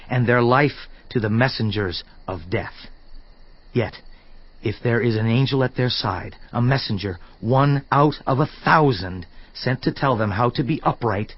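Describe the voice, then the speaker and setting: A man's voice: mid-range voice, dry recording. A man playing an earnest, indignant counselor in a dramatized scripture reading, solemn, emphatic and declamatory in delivery. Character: Elihu, earnest indignant male counselor rebuking a suffering man. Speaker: voice actor in audio Bible. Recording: slightly dull sound, music underneath. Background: background music.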